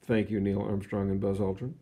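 A man reading a children's science book aloud in an expressive, explanatory storytelling manner. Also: on the low side, brisk. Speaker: read-aloud narrator.

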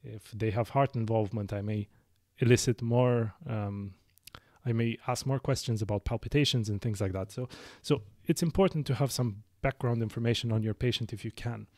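Man in his thirties, Romanian accent, measured explanatory talk, fillers and restarts, close studio mic, dry room. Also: medium pitch, darkish tone, dry recording. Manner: measured, with many fillers and self-corrections. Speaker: lung specialist physician, podcast host. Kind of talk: explanatory medical monologue. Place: small dark studio. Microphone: studio mic on boom arm.

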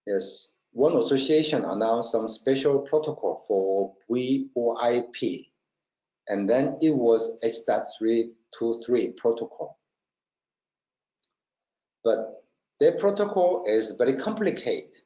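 A man presenting a technical webinar in halting non-native English, checking often that listeners follow. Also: mid-range voice, warm tone. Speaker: webinar presenter, company marketing trainer. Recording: roomy, dull lo-fi webinar audio.

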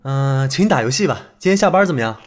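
A man acting as a young detective in an audio drama. He is inquisitive and casual, with a mid-pitched, animated voice and faint music behind. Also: fast, natural voice. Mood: playful. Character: inquisitive young male detective trusting his gut.

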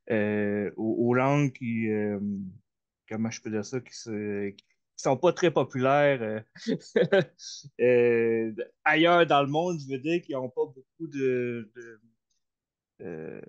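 A man asking a question on a video call, halting and full of fillers and restarts. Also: mid-range voice. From Canada. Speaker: audience member asking a question. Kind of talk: hesitant spontaneous question. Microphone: computer mic on a video call. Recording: slightly dull video-call audio.

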